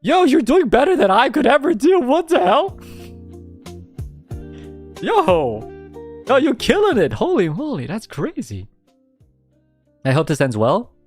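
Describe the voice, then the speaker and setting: A young man streaming a casual radio-style chat, reading out listener submissions, laughing often and reacting in an animated way. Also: measured pace, pauses often, faint music behind. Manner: animated, laughs often, jumps high when excited.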